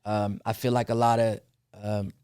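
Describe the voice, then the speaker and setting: A man's voice: on the low side, level tone, normal speed, a little mellow, smooth, dry recording, studio quality. A young man from the Netherlands, a rapper talking casually as a podcast guest, loose and peppered with "you know what I'm saying.". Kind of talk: casual interview conversation.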